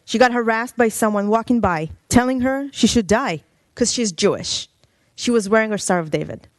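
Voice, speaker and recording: A woman from Israel speaks with urgent, pointed questions in an impassioned public comment. Her voice sits at an ordinary pitch, and the podium microphone gives a close, dry sound. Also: no echo. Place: council chamber.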